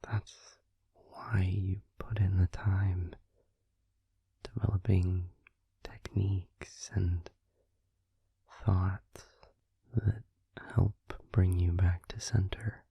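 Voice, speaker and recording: A man playing a gentle, supportive vampire boyfriend in an intimate ASMR roleplay, in a breathy, soothing whisper with pauses. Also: low-pitched, flat delivery, no echo, full character voice. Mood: tender, reassuring. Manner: soft, soothing, hesitant pauses.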